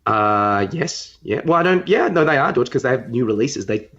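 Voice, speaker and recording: A man's voice: mid-range voice, expressive, moderate pace, fairly bright tone, muffled recording. A man co-hosting a podcast in casual, rambling chat, giving his opinions freely. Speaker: podcast co-host. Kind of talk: casual film review chat.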